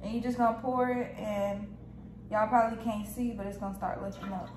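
A woman's voice: mid-range voice, steady tone, brisk, darkish tone. A young American woman casually demonstrating cooking to viewers in a chatty, slangy way, recorded in a home kitchen. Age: young adult. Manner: informal, chatty, folksy slang. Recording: echoey kitchen with noticeable background noise.

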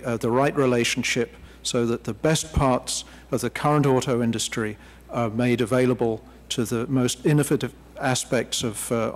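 A middle-aged man testifies at a desk microphone. He speaks formally with frequent pauses. The big hearing room gives his voice a long reverb. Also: medium pitch. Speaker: hearing witness.

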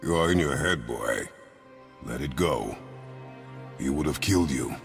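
Super deep gravelly warrior dad for a game, stern, few words, big reverb w music. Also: male voice, animated, measured pace, exaggerated voice. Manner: terse, grave, formal, uses no contractions. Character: stern, stoic warrior father, gruff and commanding. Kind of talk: dramatic video game acting.

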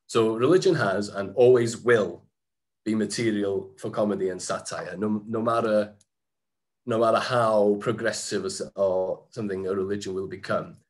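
A man with a slightly low voice presents slides from a small room, heard through a webcam. He talks in a loose, chatty way, restarting sentences and filling pauses as he goes. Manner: chatty, frequent restarts and fillers, occasional laughs. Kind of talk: informal slide-talk presentation. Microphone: webcam on a video call.

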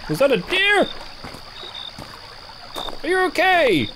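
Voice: high voice, wildly swooping pitch, slow pace, noisy background. A jumpy, sarcastic gaming YouTuber commentating on a horror game playthrough and reading on-screen text aloud. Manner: jumpy, sarcastic, gasps and cries out when startled.

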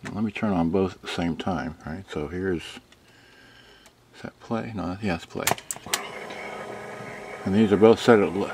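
Male hobbyist, unhurried and low, rambling hands-on demo for a handheld camera. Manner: rambling, muttering to himself. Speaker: amateur electronics hobbyist. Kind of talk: casual hands-on demonstration of audio gear. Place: indoors in a room full of audio equipment. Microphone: handheld camera microphone. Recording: noisy handheld camera audio with clicks.

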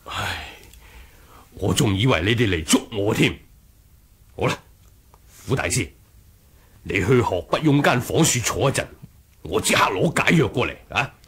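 An actor playing a proud, wary martial master in a 1980s radio drama, husky, with theatrical grunts and sighs. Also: male voice, lowish voice, animated, measured pace, pauses often, a bit bright, full character voice. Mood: feigned helpfulness.

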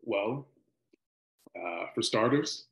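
Man acting evasive husband, lively swinging pitch. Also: drawn-out words, heavily acted, rough recording. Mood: hesitant, defensive. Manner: hesitant, deflecting, playful. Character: evasive, hungry husband in his forties. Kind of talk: screenplay table read. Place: indoor room on a video call. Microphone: video call microphone.

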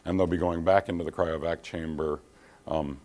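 A middle-aged man giving a technical conference presentation in a dim hall, speaking in a measured way with frequent ums and restarts. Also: deep voice, steady tone, darkish tone. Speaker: keynote speaker, engineer. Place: dim conference hall, standing by projector screen.

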